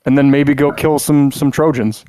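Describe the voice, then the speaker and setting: A man's voice: mid-range voice, steady tone, normal speed, mellow, no room sound, clean studio sound. Rambling, joking podcast co-host, a man. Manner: rambling, joking, full of "like" and restarts. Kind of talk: casual chat.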